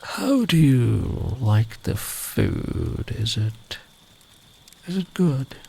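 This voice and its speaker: A man playing a big, kind traveller in the woods in an ASMR comfort roleplay, speaking gently and soothingly, often close to a whisper. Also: mid-range voice, sing-song, very slow, exaggerated voice. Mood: reassuring. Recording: clear background ambient noise.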